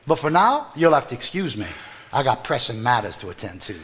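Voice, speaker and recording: An actor playing an unflappable small-town lawyer on stage, smug and courtly, with a Southern American accent. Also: male voice, mid-range voice, sing-song, fairly quick, a bit bright. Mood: coolly dismissive. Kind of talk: live stage play acting.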